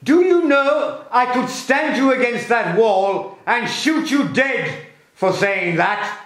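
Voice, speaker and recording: An actor playing a stern, menacing, bullying military officer in a period radio drama. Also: male voice, very high-pitched, expressive, slow pace, fairly bright tone, heavy reverb, exaggerated voice. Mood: angry, commanding.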